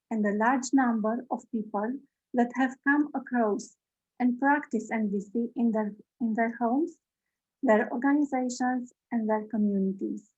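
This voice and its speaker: A woman giving a formal, measured welcome speech as host of an online session over a video call. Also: a little high, a little mellow, highs cut off.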